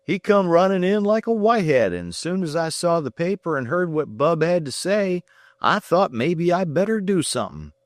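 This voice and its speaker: A man voicing a plainspoken middle-aged country farmer with a slow folksy drawl. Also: on the high side, sing-song, no echo, studio quality, pitched above the performer's own voice. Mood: earnest.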